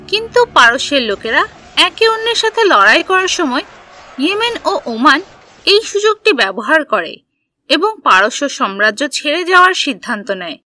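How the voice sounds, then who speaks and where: Woman storyteller for a children's cartoon, very high bright voice, scripted narration over music.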